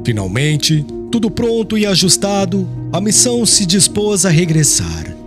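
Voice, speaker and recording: A man narrating a literary audiobook in a formal, expressive reading style. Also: high-pitched, measured pace, music in the background.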